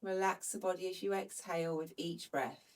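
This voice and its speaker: A woman leads a slow Pilates class in a gentle, steady voice, her nose a little stuffed up from hay fever. Her voice is picked up from some distance from the mic. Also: on the low side. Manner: calm, gentle cueing. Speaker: Pilates instructor. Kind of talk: guided follow-along workout instruction.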